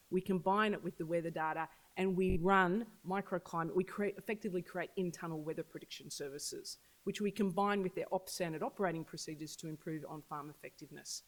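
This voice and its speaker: An agtech business leader giving an earnest launch speech to an audience at an event. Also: rough recording. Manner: earnest, pitching, repeats for emphasis. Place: event venue.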